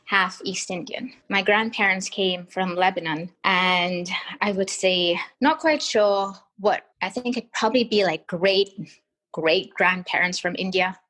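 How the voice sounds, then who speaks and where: A woman's voice: mid-range voice, a little slow, a bit bright, rough recording. Woman with a light Trinidadian accent. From Trinidad and Tobago. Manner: thoughtful, hesitant, with fillers. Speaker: podcast guest. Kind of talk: casual interview answers.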